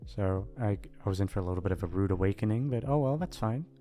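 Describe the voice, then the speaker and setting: A man's voice: fairly low pitch, expressive, fast, dark tone, soft background music. A man chatting casually to his listeners in a hesitant, self-deprecating, aimless ramble. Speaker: voice actor signing off to listeners. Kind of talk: casual rambling outro chat.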